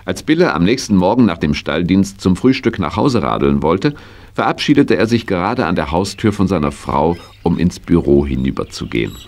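A man's voice: sits low, very animated, moderate pace, a little mellow. A man playing the narrator of a children's audio play, reading a scripted story. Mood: narrating. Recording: noisy vintage audio play recording.

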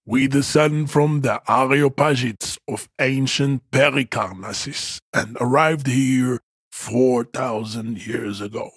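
A man's voice: medium pitch, breathy edge, no room sound, clean studio sound. An actor playing an imposing, arrogant supremacist strongman in a video game, lecturing in a pompous, formal, declamatory way with grandiose contempt. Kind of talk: video game character acting.

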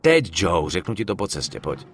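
An actor playing a level-headed, practical young mobster with a coarse, urgent way of talking in a dubbed game. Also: male voice, low-pitched, very animated, slightly rough, full character voice. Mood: tense, businesslike. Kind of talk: dramatic game dub acting.

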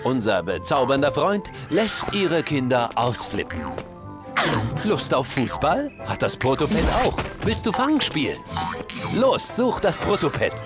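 A man's voice: on the high side, wildly swooping pitch, unhurried, music in the background. A man voicing a gushing, mock-sweet announcer in a parody toy commercial for a video game. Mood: upbeat salesy. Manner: salesy, coaxing. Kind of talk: parody advertisement voice-over.

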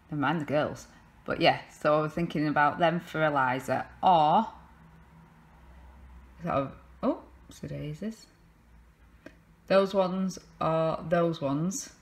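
A chatty English woman in her thirties talks straight to a camera held at arm's length as she sits on her sofa at home. Her voice sits low, and her talk rambles easily along with plenty of ums. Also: a bit bright. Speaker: vlogger. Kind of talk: casual chatty vlog. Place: living room sofa at home.

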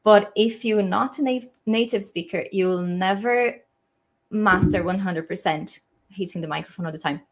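A young woman from Brazil with a Brazilian Portuguese accent, giving English learning tips on a live stream in a small home room, pausing often with ums and restarts. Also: mid-range voice. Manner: hesitant, many ums and restarts, friendly. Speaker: English teacher. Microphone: webcam framing, desk mic.

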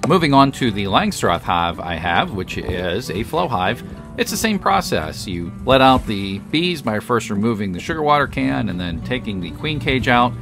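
Guy with a lowish voice, chill explainy voiceover, music playing under it. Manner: relaxed, explanatory, matter-of-fact. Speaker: beekeeper and channel host. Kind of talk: voice-over narration of a hive install. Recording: voice over background music.

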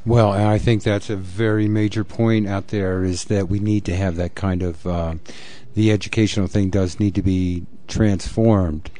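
A man hosts a live radio interview, speaking loosely and rambling through his points with many fillers. His voice sits somewhat low. It has a mellow, dark tone. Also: level tone, normal speed, few pauses. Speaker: radio host.

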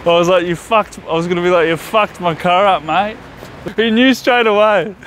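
A young Australian man with a slightly high voice co-hosts a prank video, joking loosely and swearing often. He's outdoors, with faint traffic noise behind him. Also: normal speed, a bit bright. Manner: laughs often, swears a lot. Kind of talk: casual prank vlog chat. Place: outdoors on a street.

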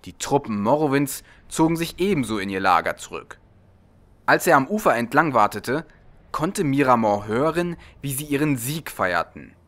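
A man's voice: medium pitch, animated, moderate pace, a bit bright. A man narrating a storybook from a script as an audiobook reader.

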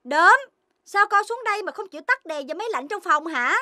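A woman's voice: very high voice, animated, brisk, bright, forceful tone, no room sound, clean studio sound, exaggerated voice. A woman voicing a caring mother dog in a children's cartoon, motherly and instructive. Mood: gently scolding. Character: caring dog mother, gently scolding and patient. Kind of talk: Vietnamese children's cartoon dubbing.

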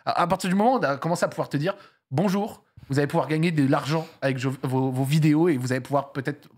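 Fast, husky young male podcast host. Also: on the high side, a little mellow. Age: young adult. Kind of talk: casual chat. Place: small podcast studio. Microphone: close studio microphone on desk stand.

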